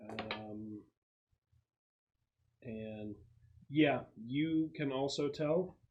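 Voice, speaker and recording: A man's voice: medium pitch, very slow, a little mellow, lo-fi sound. A man running a tabletop roleplaying game as game master, casually narrating rules and action. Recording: noisy home recording with clicks.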